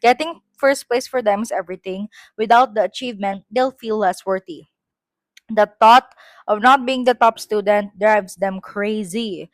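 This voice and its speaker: A woman's voice: medium pitch, moderate pace, no echo. A woman with a Filipino accent reads her own school essay aloud, breaking off for chatty, self-correcting asides.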